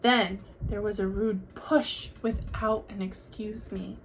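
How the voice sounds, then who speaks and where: A woman reading a children's picture book aloud like a teacher, with expressive, deliberate delivery. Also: medium pitch, a little hiss or hum, rough recording.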